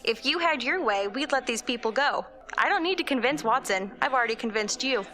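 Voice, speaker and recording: A woman playing a wry, headstrong field agent in an audio drama, brisk and sardonic. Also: sits high, expressive, pushed and bright. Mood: argumentative.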